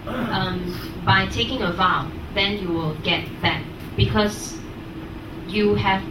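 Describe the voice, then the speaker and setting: Female student, halting classroom answers, distant echoey sound with clear noise behind. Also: medium pitch, fairly even intonation. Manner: hesitant, piecing answers together. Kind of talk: unscripted spoken answers in class.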